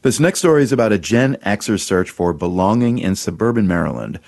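A man hosting a radio program, reading a scripted introduction in a measured, even way. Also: fairly low pitch, creaky. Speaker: radio host.

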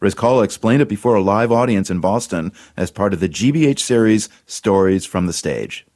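A man hosting a radio program, reading a scripted introduction in a measured, even way. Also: lowish voice. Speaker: radio host.

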